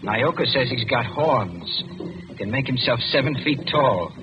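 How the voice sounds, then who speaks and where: An actor playing an earnest, uneasy young British diplomatic attaché in a 1940s radio drama. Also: male voice, medium pitch, expressive, measured pace, music in the background, lightly acted. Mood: urgent. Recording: muffled, noisy old radio recording.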